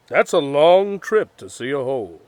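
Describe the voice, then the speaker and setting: A man's voice: on the high side, very animated, normal speed, a little mellow, dry recording. A man playing an eager on-location news reporter in an audio drama parody. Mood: keen, curious.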